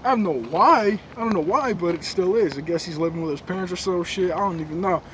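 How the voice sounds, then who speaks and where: A young man telling a creepy story in casual slang, recorded in a dark room on a camera a few feet away. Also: sits high, fast. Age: young adult. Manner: slangy, laid-back, joking. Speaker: co-host. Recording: noisy camera audio.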